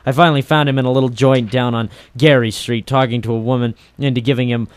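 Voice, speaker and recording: An actor playing a hard-boiled, wisecracking private eye in a 1940s radio noir, cynical, clipped and sardonic. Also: male voice, medium pitch, fairly even intonation, quick pace, a little hiss or hum, natural voice. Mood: wry, brooding.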